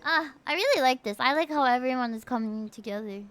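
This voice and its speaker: A woman's voice: high voice, fast, fairly bright tone. A woman livestreaming a game playthrough, chatty and enthusiastic, reacting casually and greeting her chat. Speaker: game streamer.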